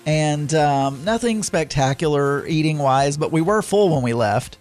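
A man's voice: on the high side, expressive, a little slow, a little mellow, faint music behind. A man with a Southern accent chatting casually as a podcast host, rambling through his weekend with frequent fillers and self-corrections. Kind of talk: casual chat.

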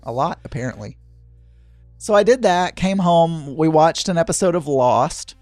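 A hoarse man talks in a loose, meandering podcast chat, his voice crackly and pitched a little above the usual for a man. He wanders off on tangents and pokes fun at himself. Faint music plays behind him. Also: expressive, moderate pace, darkish tone, dry recording. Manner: chatty, digressive, self-deprecating. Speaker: podcast host. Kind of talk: rambling casual chat.

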